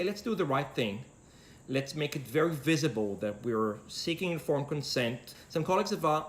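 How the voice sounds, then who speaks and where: A male professor answering interview questions in a measured, careful way, weighing his words. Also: mid-range voice.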